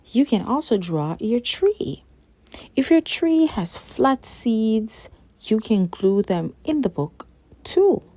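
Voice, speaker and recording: A woman reading a children's nonfiction picture book aloud in a measured, expressive way. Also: mid-range voice, dry recording.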